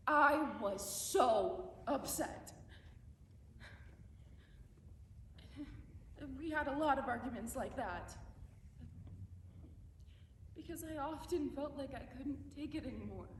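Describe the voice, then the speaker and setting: A young woman performing a dramatic monologue as a remorseful mother writing to her estranged son, speaking haltingly with stumbling restarts. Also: high voice, a bit bright, full character voice. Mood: tearful. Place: competition room, indoors. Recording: echoey room, distant mic, faint background hum.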